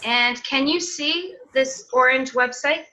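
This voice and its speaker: A woman's voice: sits high, takes it slowly, bright, forceful tone, poor audio. A Canadian woman presenting a teacher seminar over a video call, explaining in a friendly way with some fillers. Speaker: teacher trainer.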